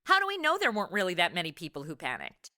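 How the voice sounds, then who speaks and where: Bright, animated woman podcast host. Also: lowish voice, fast talker. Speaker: podcast host interviewing a guest. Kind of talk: scripted conversational interview questions.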